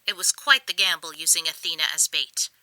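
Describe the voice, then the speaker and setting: A woman playing a brisk, authoritative intelligence section chief in an audio drama. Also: low voice, sharp tone, low-quality recording. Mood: approving, brisk. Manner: brisk, businesslike, dry. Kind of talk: comedic audio drama acting.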